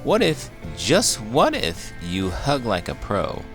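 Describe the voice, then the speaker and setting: A man's voice: on the low side, wildly swooping pitch, takes it slowly, fairly bright tone, soft background music, full character voice. A man voicing a cheeky, curious talking horse who reads a children's storybook aloud in a theatrical way. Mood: playful, teasing. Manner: theatrical, exaggerated.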